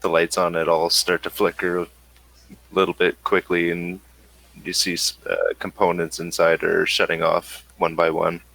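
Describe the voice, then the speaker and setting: A man acting as game master, narrating a tabletop game in a halting way with frequent pauses. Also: low-pitched, monotone, gravelly, fairly bright tone, dry recording.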